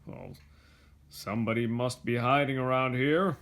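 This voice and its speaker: A man acts out an inquisitive night watchman from a children's storybook. He sounds puzzled, exclaiming and questioning in a middle-pitched male voice. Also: measured pace, gravelly, a bit bright. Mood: suspicious.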